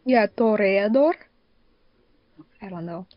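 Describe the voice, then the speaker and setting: A woman's voice: mid-range voice, expressive, slow, no room sound. Female podcast co-host, casual and chatty, lots of filler "like", dull close-up recording. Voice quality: frequent "like" as a filler. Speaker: podcast co-host, fandub producer.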